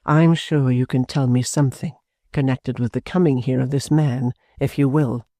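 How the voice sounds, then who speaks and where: A man reading an audiobook, voicing an earnest, formal gentleman who speaks in a measured, reassuring way. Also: mid-range voice, fairly even intonation, no echo, clean studio sound, close to an everyday voice. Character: earnest gentleman, calm, persuasive. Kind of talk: audiobook character voice.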